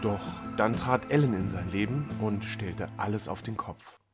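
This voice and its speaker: A man narrating a scripted short story as an audiobook, dramatically and with deliberate pauses. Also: fairly low pitch, over music.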